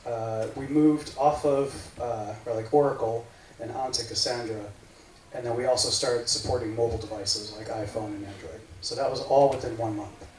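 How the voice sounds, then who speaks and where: A man's voice: medium pitch, steady tone, moderate pace, darkish tone. A man in his forties from the United States giving a casual meetup tech talk, rambling and full of fillers like "right, like". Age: 40s. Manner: rambling, filler-heavy, says "right, like" often. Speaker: meetup speaker. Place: meeting room. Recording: echoey room from far off, faint noise.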